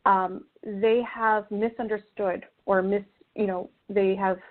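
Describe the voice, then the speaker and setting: A woman with a mid-pitched voice speaks as a guest in an interview. She is earnest and conversational, rewording her thoughts as she goes. Her audio is thin and narrow, like a phone call. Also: flat delivery, slow.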